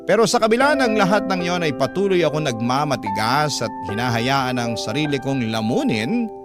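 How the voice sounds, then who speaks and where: A man with a Filipino accent reading a dramatic first-person story in a sorrowful, measured manner. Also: fairly high pitch, sing-song, over loud music. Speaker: radio story narrator.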